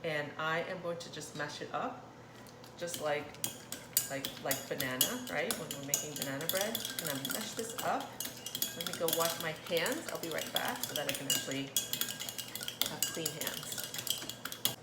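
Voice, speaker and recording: A woman's voice: sits low, moderate pace. A woman from Thailand hosting a casual cooking demonstration, chatting in a rambling way and often interrupting herself. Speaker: cooking channel host. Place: indoor kitchen. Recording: roomy echo, clear kitchen noise, clattering dishes. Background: cutlery and dish clatter.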